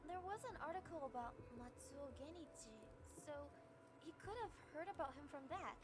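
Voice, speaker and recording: A woman's voice: sits high, animated, brisk, background noise. An actress playing a sweet, modest, curious young woman in a visual novel. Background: game music.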